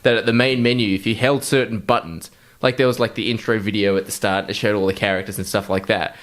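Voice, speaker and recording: A man's voice: fairly low pitch, steady tone, fast talker, a bit bright. A man co-hosting a podcast, chatting casually and comically about films in a blunt, sweary way. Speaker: podcast co-host. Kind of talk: casual comedic film chat.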